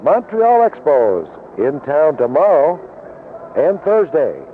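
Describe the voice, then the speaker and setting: A man's voice: a little high, sing-song, slow. An American man calling baseball play-by-play in the style of 1970s radio commentary. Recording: tinny noisy vintage AM radio broadcast.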